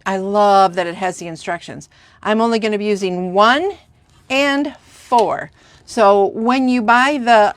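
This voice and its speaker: A congested, sniffling woman chatting through a crafting tutorial, rambling with lots of fillers and gushing about supplies. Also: medium pitch. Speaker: craft demonstrator.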